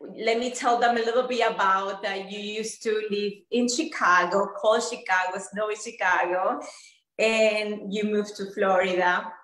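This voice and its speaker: A woman's voice: medium pitch, fairly even intonation, a little slow, a bit bright, roomy sound, low-quality recording. A woman hosting an interview in a chatty, enthusiastic way, with many fillers. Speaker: interview host. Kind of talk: casual interview chat.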